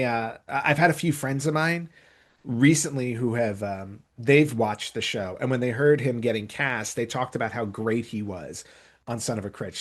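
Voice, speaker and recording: A man speaking as a podcast host in casual conversation, rambling and often rephrasing himself mid-sentence. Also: medium pitch. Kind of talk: casual conversational podcast talk about entertainment news.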